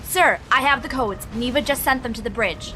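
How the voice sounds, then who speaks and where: A woman's voice: fairly high pitch, normal speed, over music, natural voice. A woman playing a dutiful starship bridge officer under pressure in an audio drama, reporting formally. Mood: serious, controlled. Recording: noisy background with clicks.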